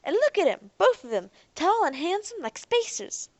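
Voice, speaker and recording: A woman doing a shrill, jeering young woman. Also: big pitch swings, normal speed, no room sound.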